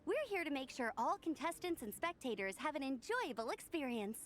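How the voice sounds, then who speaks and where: Upbeat, high-voiced young knightly scout. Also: female voice, big pitch swings, a little fast, a bit bright, slight background noise. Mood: helpful, informative. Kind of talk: video game voice acting.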